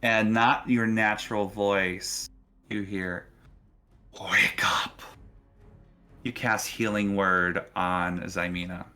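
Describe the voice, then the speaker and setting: Low, bright-voiced male game master. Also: fairly even intonation, takes it slowly, faint music behind. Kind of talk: narrating a tabletop role-playing game.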